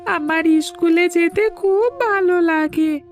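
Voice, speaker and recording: A little cartoon schoolboy, talks in a very high, childlike voice. He pleads plaintively and slowly, clinging to his mother. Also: faint music behind. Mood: eager. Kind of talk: cartoon folk-tale dialogue.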